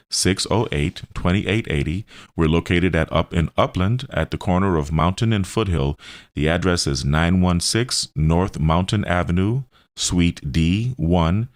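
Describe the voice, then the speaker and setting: A man explaining things in a radio interview, with halting phrasing and repeated words. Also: sits low, no room sound, studio quality. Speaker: radio show host and health practitioner.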